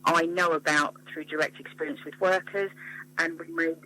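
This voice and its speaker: Bright-voiced, passionate English woman. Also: deep voice, fairly even intonation, moderate pace, slight background noise, rough recording. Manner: passionate, emphatic. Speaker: interview guest, mental health charity founder and psychotherapist. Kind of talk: radio interview.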